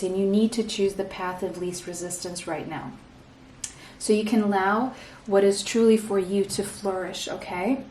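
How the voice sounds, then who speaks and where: A woman from Portugal leads a tarot reading in a soft, reassuring manner, checking in with listeners and clearing an irritated throat now and then. Her voice sits a little off the microphone in an echoey room, with a faint hum underneath. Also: mid-range voice, distant mic. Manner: soft, reassuring, often ends points with "okay?". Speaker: tarot reader. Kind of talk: pick-a-card tarot reading.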